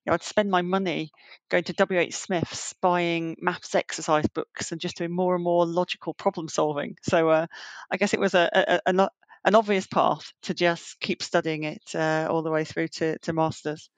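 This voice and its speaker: A middle-aged woman answers interview questions in a chatty, rambling way, full of fillers and restarts. Her voice sits on the low side. The recording is dry and close. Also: fairly even intonation, normal speed, no echo. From Yorkshire, England. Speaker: guest, banking executive. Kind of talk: remote interview conversation.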